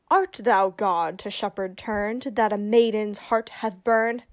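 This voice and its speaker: A woman acting a witty young noblewoman disguised as a male youth in a Shakespearean radio play, with brisk, teasing, theatrical delivery. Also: medium pitch, fairly even intonation, close to an everyday voice. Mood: mocking recital.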